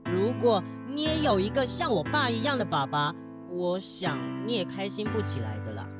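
A woman's voice: mid-range voice, lively intonation, moderate pace, over loud music, close to an everyday voice. A woman playing a glum, innocent young child of a rich boss.

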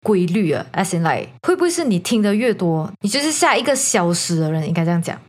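A woman podcast host telling a story in casual chat, animated and slipping bits of English into her talk. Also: lowish voice, fast. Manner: animated, code-switches into English.

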